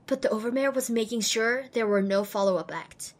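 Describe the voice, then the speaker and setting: A woman's voice: on the high side, brisk, close to an everyday voice. A woman voicing a young, determined and wry female pony who narrates her own adventure in an audio drama. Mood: resolute. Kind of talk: scripted first-person narration in audio drama.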